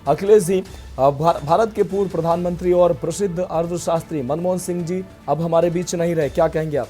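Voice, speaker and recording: A man anchoring breaking news, partly ad-libbed, repeating himself in halting phrases. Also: a little high, fairly even intonation, warm tone. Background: faint music.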